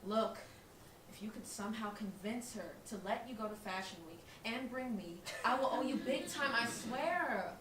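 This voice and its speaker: A woman's voice: fairly high pitch, animated, moderate pace, close to an everyday voice. A young woman playing a sarcastic, dramatic aspiring designer in a comedic play, with a theatrical, teasing delivery. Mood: pleading, exasperated. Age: young adult. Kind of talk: comedic stage acting. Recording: echoey room, distant mic, background hiss.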